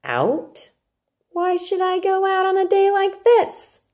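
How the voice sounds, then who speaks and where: A woman's voice: extremely high pitch, big pitch swings, slow pace, a little mellow, voice pushed up, exaggerated voice. A woman reading storybook dialogue as a sullen, spoiled little girl, curt and haughty. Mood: curt questioning. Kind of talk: storybook dialogue read aloud.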